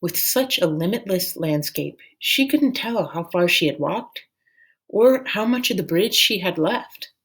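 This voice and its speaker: A woman reading a children's novel aloud as a storyteller, in a measured, deliberate way. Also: fairly low pitch, lively intonation, husky.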